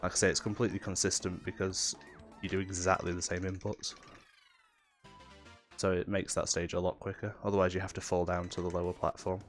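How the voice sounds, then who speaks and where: Nasal low-voiced man with a cold. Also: brisk, soft background music. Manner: relaxed, explanatory, with fillers. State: has a cold. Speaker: speedrunner commentating his own run. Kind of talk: casual technical commentary.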